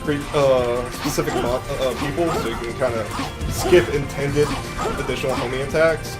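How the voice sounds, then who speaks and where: A deep-voiced man talks through his own speedrun in a loose, chatty way, explaining tricks as he goes and often restarting sentences mid-thought. His pitch sits in a middle range for a man. Game music plays clearly behind him. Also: fairly even intonation, moderate pace. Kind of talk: live speedrun commentary.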